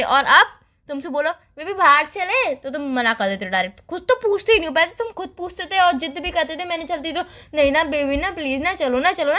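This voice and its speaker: Here is a woman acting as a young girlfriend in a casual couple's call drama. Her voice is high and bright, and she talks very quickly. She coaxes and complains in a whiny, nagging way. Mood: sulky, complaining. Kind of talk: casual scripted couple phone call in Hindi.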